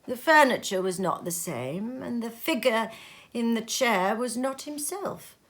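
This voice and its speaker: A woman reading a story aloud in an expressive, measured and dramatic narrating style. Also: fairly high pitch. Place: sitting room at home.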